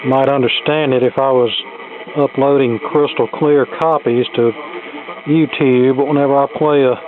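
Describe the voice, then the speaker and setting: A man's voice: mid-range voice, fairly even intonation, moderate pace. Folksy man on phone-like lo-fi recording. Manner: folksy, plainspoken. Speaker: radio repair hobbyist presenter. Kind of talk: casual workbench repair narration. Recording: phone-like lo-fi sound, music behind. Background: tube AM radio playing.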